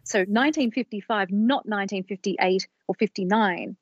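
A woman co-hosting a podcast, explaining research in a measured storytelling way on a slightly dull, lo-fi recording. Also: mid-range voice, husky, no echo. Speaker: podcast co-host presenting research.

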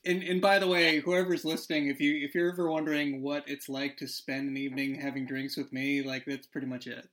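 A man's voice: on the high side, flat delivery, fairly quick, hardly any pauses, a bit bright, poor audio. A man co-hosting a casual chat, rambling and self-mocking, cursing casually. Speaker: podcast creator, interviewer.

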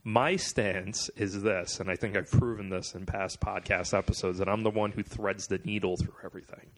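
A man's voice: low voice, fairly even intonation, normal speed. A young man co-hosting a podcast, joking with friends in a teasing, playfully boastful way. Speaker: podcast co-host. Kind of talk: casual banter among friends.

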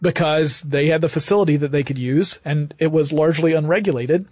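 American man, higher-pitched, explaining history. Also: steady tone, fairly quick, darkish tone, no room sound, clean studio sound. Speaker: podcast co-host.

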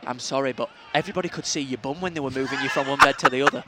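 A man's voice: medium pitch, very fast, very noisy. A young man with a Yorkshire accent co-hosting a fan podcast, teasing and cheeky, recorded at a football ground. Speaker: podcast co-host. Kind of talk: casual banter. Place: outdoors in football stadium stands.

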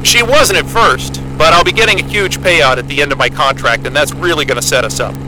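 A man's voice: on the high side, brisk, natural voice. A man acting as an earnest, bookish young astronomer in an audio drama, with a hesitant, polite, wry way of talking. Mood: modest, wry. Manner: hesitant, polite, wry, stammering over words. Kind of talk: naturalistic audio drama dialogue. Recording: loud engine hum and vehicle noise.

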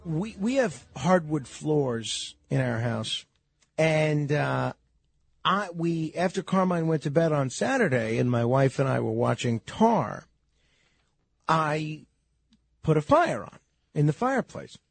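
A man talking on the radio in a hesitant, halting, earnest conversational way. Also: a little high, sing-song, creaky, slightly rough. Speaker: radio host.

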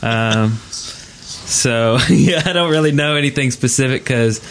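A man hosting a casual gaming podcast, talking in a rambling, halting way. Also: medium pitch, fairly bright tone, a little hiss or hum. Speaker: podcast host.

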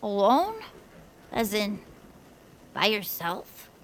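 A woman's voice: medium pitch, sing-song, drawn-out words, a little hiss or hum, full character voice. An actress playing a brash, carefree, dramatic girl in an audio drama, breezy and mock-formal. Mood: wounded, huffy. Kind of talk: audio drama character acting.

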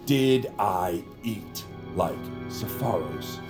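Pompous, low-voiced theatrical villain. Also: male voice, lively intonation, over music, exaggerated voice. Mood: self-important. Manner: grandiose, formal, deliberate, dramatically theatrical. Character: pompous theatrical method actor, secretly a villainous vampire familiar. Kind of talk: audio drama acting.

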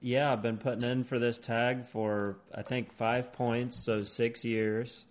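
A soft-spoken man talking casually as a podcast guest, a little away from the mic. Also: medium pitch, flat delivery, slow pace. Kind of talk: casual chat.

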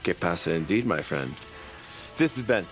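Gung-ho, goofy low-voiced buddy. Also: male voice, expressive, moderate pace, soft background music. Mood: eager. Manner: enthusiastic, slangy. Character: gung-ho goofy buddy, excitable would-be action hero. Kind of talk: audio drama acting.

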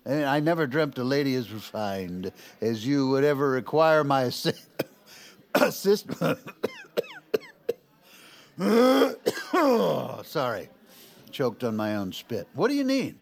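An actor playing a goofy, folksy, boozy vice president in a comedic audio drama, with a stammering, rambling, crude and jokey delivery. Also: male voice, medium pitch, big pitch swings, slow. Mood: playful, bumbling.

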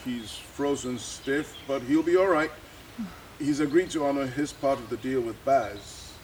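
A man's voice: mid-range voice, a little slow, a little mellow, natural voice. A man acting in an audio drama as a weary, resigned character who speaks hesitantly. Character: weary, resigned man bearing bad news. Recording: distant from mic, noisy ambience.

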